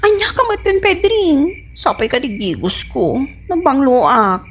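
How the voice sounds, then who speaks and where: An actress with an Ilocano accent playing a nagging, needy, affectionate village wife in a radio comedy drama. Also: female voice, high voice, sing-song, unhurried. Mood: pleading, pouty.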